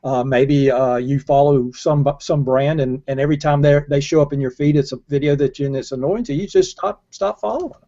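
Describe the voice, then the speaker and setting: A man's voice: fairly high pitch, fairly quick, few pauses, dark tone. An older man in a casual panel discussion on a video call, making his points emphatically and often repeating his words. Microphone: earbuds headset on a video call. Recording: dull lo-fi video call audio.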